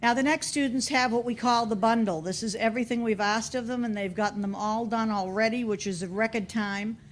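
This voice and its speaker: A woman with a Boston accent announcing student awards at a formal meeting, deliberately reading out one name at a time. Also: a little high, steady tone, slight background noise. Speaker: school staff member presenting student awards. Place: school board meeting room.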